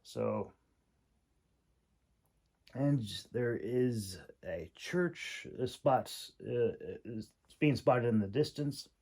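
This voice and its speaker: A man giving a casual, unscripted critique as a host, halting and thinking aloud with long pauses. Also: mid-range voice, gravelly, warm tone. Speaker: host critiquing a writer's manuscript.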